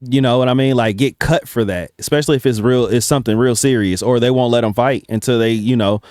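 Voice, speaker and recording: A man's voice: medium pitch, fast talker, darkish tone, no room sound, studio quality. Blunt man reacting in casual slang. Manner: blunt, heavy swearing, slangy. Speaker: reaction video host.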